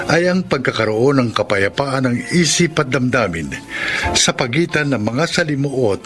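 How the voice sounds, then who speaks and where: A Filipino man narrating a scripted, dramatic introduction to a radio drama. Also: medium pitch.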